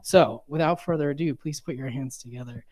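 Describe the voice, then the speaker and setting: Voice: medium pitch, brisk, a little mellow. A host giving a casual, upbeat welcome and speaker introductions at a live bookstore event, with some fillers.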